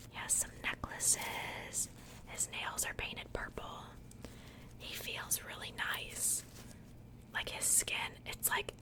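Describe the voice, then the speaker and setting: A woman speaking in a soft, breathy whisper, chatting casually and unhurriedly in the style of an ASMR unboxing. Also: low-quality recording. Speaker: ASMR video creator.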